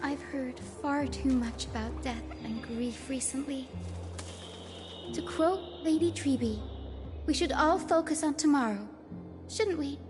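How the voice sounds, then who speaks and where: An actress playing a gentle, optimistic young woman physician in a fantasy game, speaking in an earnest, courteous way. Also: high voice, a little slow, over music. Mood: polite, hopeful.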